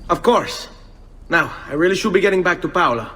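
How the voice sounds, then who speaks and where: A man's voice: sits high, expressive, brisk, bright, forceful tone, in a large hall, slight background noise. An actor playing an earnest young nobleman turned avenger, with an Italian accent, in a dramatic video game style. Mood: grateful.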